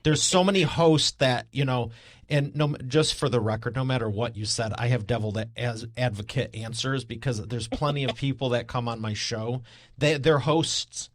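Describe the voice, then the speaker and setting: A man of average pitch hosts an interview in a conversational style. He works through his counterpoints haltingly, restarting as he goes. The recording is close and very dry. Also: no echo. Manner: halting, thinks aloud while challenging the guest. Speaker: podcast host.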